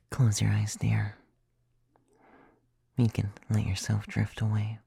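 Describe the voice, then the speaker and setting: A man voicing a gentle, caring young vampire in an ASMR roleplay, with soft, breathy, soothing and intimate whispering. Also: lowish voice, dry recording, clean studio sound, exaggerated voice. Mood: soothing, hushed. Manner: soothing, slow, tender.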